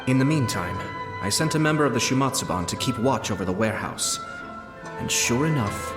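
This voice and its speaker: A man playing a composed young nobleman in a video game, speaking in a measured, formal and courteous way with soft amusement. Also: mid-range voice, natural voice. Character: composed young nobleman, clan head, polite and quietly cunning. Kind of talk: video game character dialogue. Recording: roomy echo under clear background music.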